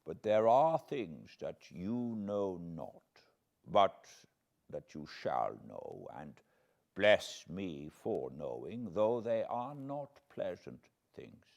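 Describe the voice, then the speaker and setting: A man voicing an elderly foreign professor from a classic novel, solemn and fatherly, with quaint, deliberate phrasing. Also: sits low, sing-song, vocal fry, darkish tone, dull audio, voice pushed down, heavily acted. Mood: tender, sorrowful. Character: elderly foreign male professor, grave, tender, paternal, eccentric.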